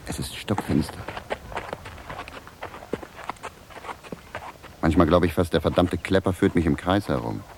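An actor playing a weary, freezing wanderer who muses theatrically to himself in a radio drama. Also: male voice, sits low, animated, a little fast, creaky. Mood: weary, cold. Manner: theatrical musing, talking to himself. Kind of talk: German radio drama acting, soliloquy. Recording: noisy 1970s radio drama recording.

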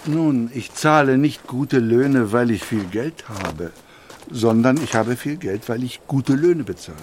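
An actor playing a principled, plain-spoken early twentieth-century industrialist in an audio documentary, deliberate, emphatic and didactic in delivery. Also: male voice, medium pitch, animated, a little mellow, a little hiss or hum, lightly acted. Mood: firm conviction. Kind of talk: acted quotation in an audio documentary.

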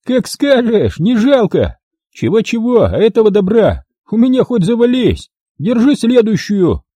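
A man's voice: high-pitched, wildly swooping pitch, brisk, mellow, breathy edge, no echo, voice pushed up, heavily acted. A man voicing a foul-mouthed brawling tough who bellows and mocks in an audiobook story. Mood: gleeful taunting. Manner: bellowing, cursing, mocking.

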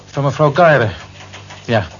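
A dull old radio play recording of a courteous office man, played by an actor. His mid-pitched voice is polite and measured, that of a tidy, kindly clerk. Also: expressive, slight background noise, lightly acted. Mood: good-natured.

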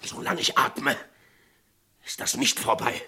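A man acting in a German radio western as an agitated sheriff who pleads emphatically with his friend, in a husky, gravelly voice. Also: unhurried. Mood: insistent pleading. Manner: emphatic, swinging from shouting to hushed pleading.

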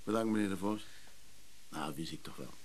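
An actor playing an apologetic, ingratiating antiques hustler in a radio play, smooth but hesitant. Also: male voice, deep voice, moderate pace, slight background noise, natural voice. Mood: smooth, placating.

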